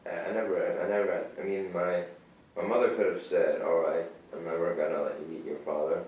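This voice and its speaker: A man's voice: low voice, level tone, fairly quick, darkish tone. A man from Germany in casual conversation, rambling and telling stories. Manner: rambling, digressive storytelling. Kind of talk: casual chat. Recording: echoey room, far from mic.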